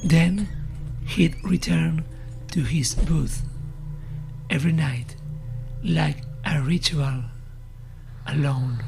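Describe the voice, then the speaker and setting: A woman narrating a scripted horror story in a measured, suspenseful manner. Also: low-pitched, gravelly, music in the background.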